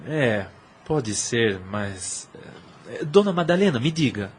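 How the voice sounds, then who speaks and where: An actor playing a jealous, wounded suitor in an audio drama, with formal, theatrical diction. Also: male voice, medium pitch, very animated, takes it slowly, a little hiss or hum. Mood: polite, restrained. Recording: music under the voice. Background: music.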